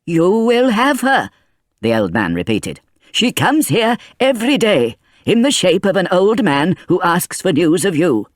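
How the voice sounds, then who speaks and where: A man playing a frantic, high-voiced old grandfather. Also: sing-song, unhurried, dry recording, clean studio sound. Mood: doting. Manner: high, cracking, pleading outbursts.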